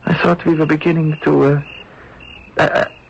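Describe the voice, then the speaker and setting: An actor playing an earnest, lovestruck young German soldier in a radio drama, pleading and halting. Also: male voice, on the high side, flat delivery, creaky, a little hiss or hum. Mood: hurt, pleading. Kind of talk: old-time radio drama acting. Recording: dull lo-fi radio broadcast recording.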